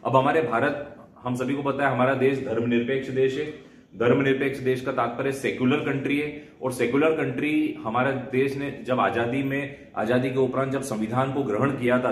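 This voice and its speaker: Indian male lecturer, mid-pitched, didactic explainer delivery, echoey room with faint noise. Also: fairly even intonation, a little fast. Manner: didactic, restating terms in English and Hindi. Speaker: law lecturer.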